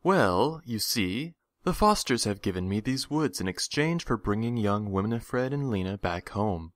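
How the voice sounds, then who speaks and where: A man playing a greedy, condescending villain in an audio drama, with theatrical, drawn-out phrasing. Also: fairly low pitch, expressive, dry recording, clean studio sound. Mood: smug. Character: menacing, greedy, smooth-talking villain stranger, adult man.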